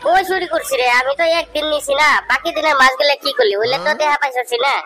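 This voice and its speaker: A man voicing a cheeky, scheming village friend in a comic cartoon, bantering in a rural Bengali dialect through a pitch-raised voice. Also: fast talker, a crowd in the background. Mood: brazen, defensive. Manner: brazen, persuasive, bantering. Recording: music behind the voice.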